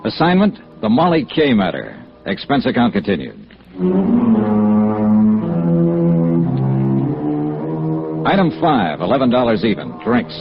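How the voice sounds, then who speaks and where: An American actor playing a brisk, hard-boiled insurance investigator in a 1950s radio noir drama. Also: male voice, mid-range voice, wildly swooping pitch, gravelly, over loud music. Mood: clipped, businesslike. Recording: dull vintage radio recording.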